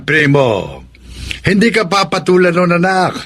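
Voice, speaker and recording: Stern older dad, husky, higher-pitched, scolding plainly, radio drama. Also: male voice, big pitch swings, unhurried, a bit bright, full character voice. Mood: admonishing. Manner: admonishing, plain-spoken. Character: stern, admonishing older father of a grown son.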